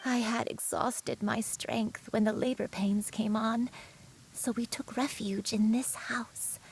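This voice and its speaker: Elderly grandmother, game drama: gentle, halting, breathy, very slow delivery, lightly raised pitch. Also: fairly even intonation, a little hiss or hum, poor audio. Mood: haunted, solemn. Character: frail, gentle old grandmother with failing memory. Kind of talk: dramatic video game voice acting.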